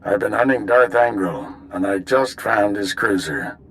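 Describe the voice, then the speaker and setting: Low-voiced, resolute old mentor knight. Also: male voice, animated, a little slow, a bit bright. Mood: resolute, solemn. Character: resolute older male Jedi mentor, gruff and fond. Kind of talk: video game cutscene acting.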